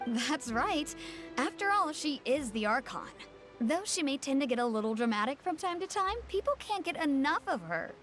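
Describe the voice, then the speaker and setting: A woman's voice: sits high, sing-song, normal speed, music in the background. A woman voicing a proper, law-minded townswoman with a prim, earnest manner.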